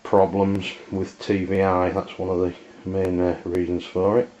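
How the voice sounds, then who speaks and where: Low, monotone Englishman, halting delivery. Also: dull audio, slight background noise. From England. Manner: hesitant, halting, plain-spoken. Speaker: amateur radio reviewer. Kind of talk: unscripted product review demonstration.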